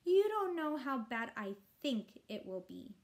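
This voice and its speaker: A woman's voice: high-pitched, sing-song, unhurried, full character voice. A woman voicing an exasperated, sarcastic school-age boy, a grumbling older brother in a storybook reading.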